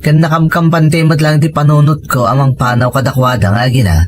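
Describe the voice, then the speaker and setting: A man's voice: fairly high pitch, steady tone, brisk, natural voice. A man with an Ilocano accent acting as an earnest husband and dutiful son in a family radio drama, over music.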